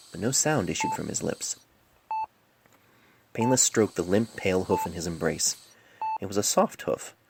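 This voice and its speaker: Very low-pitched woman narrator, creaky. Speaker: amateur author and story narrator. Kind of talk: scripted fan fiction narration. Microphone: cell phone microphone. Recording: noisy amateur cell phone recording.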